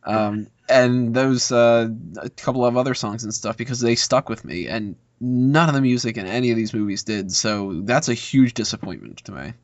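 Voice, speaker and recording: A man's voice: medium pitch, normal speed, few pauses, no echo, muffled recording. A man chatting casually and bluntly as a movie podcast panelist, giving his opinions.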